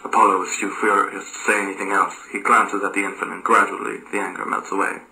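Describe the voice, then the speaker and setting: A man narrates a story from a script in a low-pitched voice. The tone is strikingly bright and forceful. He reads aloud and now and then trips on a word. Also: flat delivery, quick pace, rough recording.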